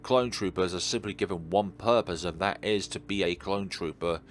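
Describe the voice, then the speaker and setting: A man's voice: low-pitched, moderate pace, slight background noise. A man giving casual, rambling and earnest commentary while playing a visual novel. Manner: rambling, earnest philosophical musing. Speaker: video game let's player.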